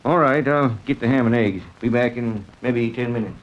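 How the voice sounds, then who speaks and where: A man's voice: medium pitch, normal speed, close to an everyday voice. An actor playing a folksy, easygoing older male police chief with a drawling, colloquial manner in 1940s radio drama. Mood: matter-of-fact. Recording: dull, muffled old radio recording.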